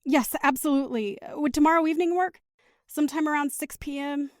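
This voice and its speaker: A woman acting as a high-voiced, caring mother. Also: fairly even intonation, fairly quick, no echo, studio quality, lightly acted. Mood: polite, upbeat. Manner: chatty, reassuring. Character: caring, upbeat middle-aged mother of teenagers. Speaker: actor in an audio drama. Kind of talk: naturalistic audio drama acting.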